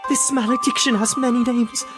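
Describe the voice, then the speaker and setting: A woman's voice: on the high side, fairly even intonation, brisk, music in the background, natural voice. A woman playing an earnest, bossy young princess in a theatrical cartoon parody dub.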